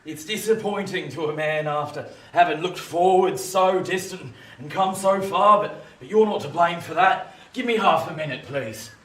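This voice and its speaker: An actor playing a rough, emotional convict of about sixty in a radio play, with a working-class English accent and halting rustic speech. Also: male voice, fairly high pitch, fairly bright tone, lightly acted. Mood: guarded, weary. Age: 60s. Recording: distant, echoey, faint hiss.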